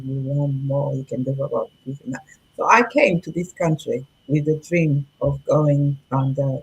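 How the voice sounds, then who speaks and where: A woman in her forties, from Portugal, telling her own story in a relaxed podcast chat with frequent pauses and fillers. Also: deep voice, low-quality recording. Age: 40s. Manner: reflective, laughs easily, many fillers. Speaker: guest. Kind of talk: casual podcast conversation.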